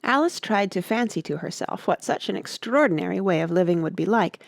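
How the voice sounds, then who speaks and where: A woman's voice: medium pitch, expressive, fairly quick, creaky, no echo, studio quality. A woman reading scripted storybook narration as an audiobook narrator.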